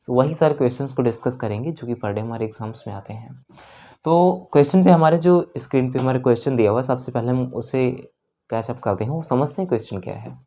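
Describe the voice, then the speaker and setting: An Indian maths teacher with a medium-pitched voice takes learners through an exam problem. The delivery is explanatory and methodical, going one step at a time. Key numbers and phrases get repeated, English maths terms are mixed in, and short check-ins come often. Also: fast, mellow, a little hiss or hum.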